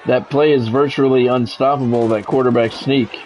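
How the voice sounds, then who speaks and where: A man's voice: mid-range voice, fairly even intonation, normal speed, a little mellow, slight background noise, low-quality recording. A middle-aged man calling a football video game like a radio play-by-play announcer, in clipped phrases with informal asides. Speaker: gamer and play-by-play commentator.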